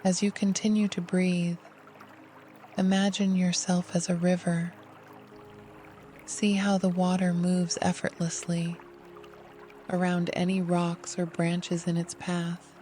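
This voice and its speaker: A meditation guide giving scripted, gentle, soothing instruction in an unhurried way. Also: mid-range voice, completely flat delivery, faint music behind.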